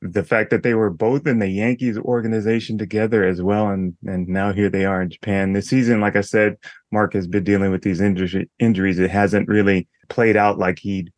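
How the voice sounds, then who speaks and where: A male podcast host with a somewhat low voice that comes out raspy. He talks in an easygoing, joking way and laughs often. The sound is dry and close. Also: flat delivery, a little fast, darkish tone, no echo. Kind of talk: casual podcast chat.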